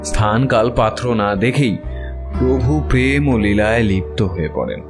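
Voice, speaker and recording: A man narrating a scripted first-person story in a measured, literary, dramatic way. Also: lowish voice. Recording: prominent guitar music bed. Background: plucked guitar music.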